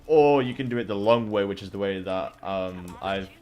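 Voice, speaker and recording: A man's voice: on the low side, normal speed, a little mellow. A young man from Wales, a streamer chatting casually on a live co-stream, rambling with lots of fillers and the odd swear.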